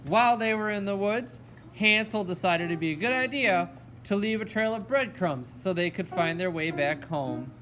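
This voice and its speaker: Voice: medium pitch, animated, moderate pace. A storyteller narrating a fairy tale for a children's puppet show. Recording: loud music alongside the voice. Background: music.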